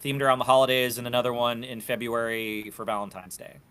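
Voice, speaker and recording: A man's voice: medium pitch, little pitch movement, fast talker, a bit bright, a little hiss or hum. A man speaking casually as a guest in a panel chat. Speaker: panel guest, puppet show host.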